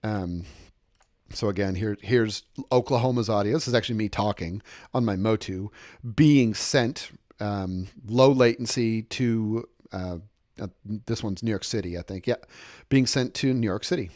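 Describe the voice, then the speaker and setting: A man teaching an informal screen-share software tutorial, explaining step by step and repeating points for emphasis. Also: on the low side, moderate pace, dry recording, studio quality. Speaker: software instructor and demonstrator.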